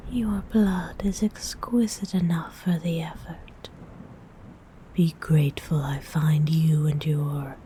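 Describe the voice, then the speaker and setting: Haughty female vampire voiced by a woman, whispered, very slow and drawn out, low and mellow. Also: a little hiss or hum, low-quality recording. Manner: haughty, formal phrasing. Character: predatory female vampire, aloof and possessive. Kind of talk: ASMR role-play audio.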